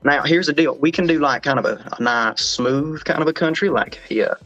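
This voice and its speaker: A man's voice: a little high, fairly bright tone, dull audio, soft background music. A young man hosting a casual livestream chat, joking and rambling. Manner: joking, rambling, fast talking. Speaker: livestream host.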